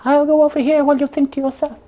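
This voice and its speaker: A tiny-sounding, very high puppet sleuth voiced by a woman in a comic puppet show. The character is proud and fussy, bossing a partner around with self-important propriety. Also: flat delivery, fast, mellow, natural voice, rough recording.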